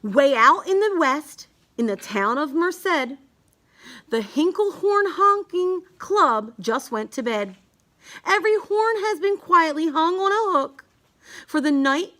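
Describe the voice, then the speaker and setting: Very high-pitched woman reading a storybook slowly. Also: big pitch swings, bright, forceful tone. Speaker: storybook reader. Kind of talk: read-aloud of a rhyming children's picture book.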